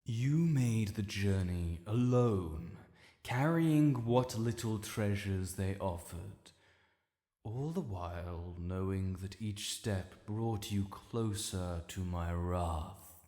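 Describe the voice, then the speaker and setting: A man's voice: lowish voice, slow, warm tone. A man with a British accent playing an ancient, proud male dragon lord in a fantasy audio roleplay, speaking formally and imperiously to the listener. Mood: stern, probing. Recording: roomy with long cavernous reverb.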